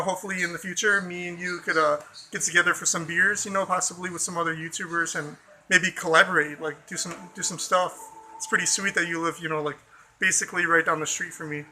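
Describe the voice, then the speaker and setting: A man with an American accent talks in a casual vlog, rambling loosely and swearing freely, packing his talk with fillers. His voice sits high for a man and sounds very bright and sharp. A faint hiss of noise runs underneath. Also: low-quality recording. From Chicago suburbs, USA. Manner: loose and profane, heavy on fillers like "you know" and "like". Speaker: vlogger.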